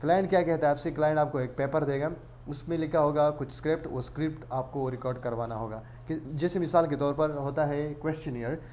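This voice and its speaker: A man's voice: fairly high pitch, fairly even intonation, brisk, hardly any pauses, a little mellow. Man explaining a tutorial, noisy recording. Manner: explanatory, mixes English words into speech. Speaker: online tutorial host. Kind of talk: casual screen-share tutorial narration.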